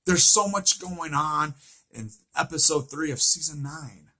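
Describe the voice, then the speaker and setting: A man's voice: fairly high pitch, unhurried, pushed and bright. A man giving an informal recap and theory commentary on a show, emphatic and repetitive, often posing rhetorical questions. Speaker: fan commentator on a TV series.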